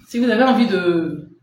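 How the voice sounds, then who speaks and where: Woman doing a live sales stream, runny nose, kinda high voice, big echo off the walls. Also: a little mellow. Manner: chatty, laughs often, answers viewers' comments. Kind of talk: casual live-stream chat. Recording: very echoey room with faint noise.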